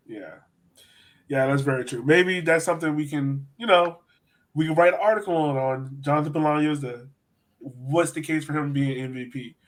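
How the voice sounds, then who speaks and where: A man with a slightly high-pitched voice hosts a sports podcast. He talks through soccer games casually, giving his opinions in a conversational way. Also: normal speed, poor audio. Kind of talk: casual soccer match analysis.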